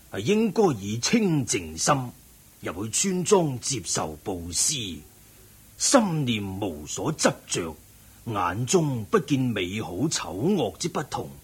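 An actor playing an eminent, wealthy old layman who lectures in a measured way, in Hong Kong Cantonese radio drama. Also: medium pitch, husky, heavily acted. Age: older. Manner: measured, preaching.

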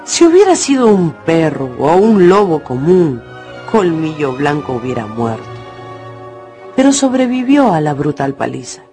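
A man narrating a dramatised radio story with theatrical, deliberate phrasing. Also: a little high, a little mellow. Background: music.

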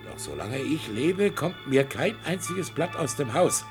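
An actor playing a proud, stubborn old art collector, speaking with fervent, boastful enthusiasm in a radio drama. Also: male voice, medium pitch, sing-song, a little slow, over music. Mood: impassioned. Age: older.

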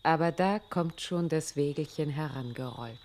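A woman's voice: low voice, moderate pace, darkish tone, a little hiss or hum, natural voice. A woman giving scripted narration in a radio play.

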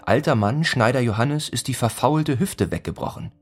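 An actor narrating a grimly comic village tale in a literary radio play, in a rhythmic, repetitive manner. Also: male voice, medium pitch, normal speed, soft background music, close to an everyday voice. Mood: grim, insistent. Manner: incantatory, repeats phrases rhythmically. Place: studio radio play production.

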